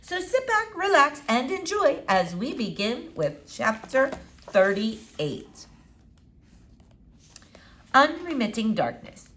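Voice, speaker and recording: A woman narrating a children's novel as an expressive read-aloud. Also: high voice, slow pace, long pauses. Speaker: storybook reader.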